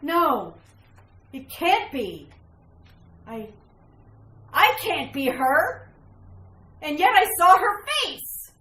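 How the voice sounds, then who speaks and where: A woman playing a frightened, tormented woman in a retro radio thriller, speaking haltingly and dramatically. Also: high-pitched, big pitch swings, pushed and bright, heavily acted. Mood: dazed, horrified. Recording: echoey room with faint hiss.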